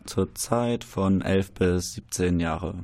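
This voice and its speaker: A man's voice: sits low, slow, warm tone. A man in his early twenties, a trainee educator guest on radio, hesitant and laid-back. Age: 20s.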